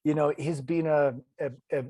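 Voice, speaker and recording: A man's voice: on the high side, fairly even intonation, warm tone, husky, no room sound. Earnest Italian man, dry close sound. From Italy. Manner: earnest, halting, many restarts and fillers. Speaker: guest, ultrarunner. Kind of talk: casual interview storytelling.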